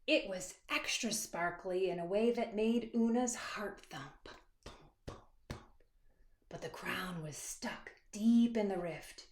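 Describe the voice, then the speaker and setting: In an echoey room, a woman reads a children's picture book at an unhurried pace. Her delivery is dramatic and expressive, stretching words and dropping to a hush, as if speaking straight to small children. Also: mid-range voice. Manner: pauses to address young listeners with questions. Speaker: author reading her own picture book. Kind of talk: expressive children's storybook read-aloud.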